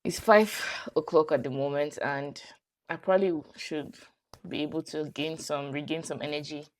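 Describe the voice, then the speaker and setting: A woman vlogs from home, chatting freely to the camera. Her voice sits low for a woman, and she laughs often as she tells her story. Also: fairly even intonation, moderate pace. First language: English. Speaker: vlogger. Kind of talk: casual vlog storytelling.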